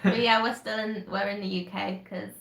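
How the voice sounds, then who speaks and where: A woman's voice: mid-range voice, level tone, a little fast, creaky, a bit bright. A young woman with a Yorkshire accent, bubbly and laughing often as she co-hosts a livestream. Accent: Northern English, Yorkshire. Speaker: livestream co-host. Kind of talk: casual livestream chat. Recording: echoey room.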